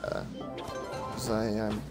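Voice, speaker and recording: A man's voice: on the low side, completely flat delivery, slow. A man doing casual, rambling gameplay commentary as a streamer, with playful asides. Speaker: game streamer. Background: music.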